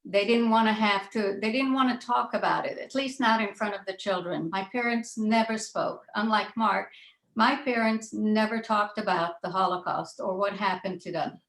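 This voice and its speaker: Older lady from israel on a panel telling her own story, deliberate, echoey room. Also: medium pitch, a bit bright. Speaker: panelist, child of Holocaust survivors. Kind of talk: personal recollection in a panel discussion.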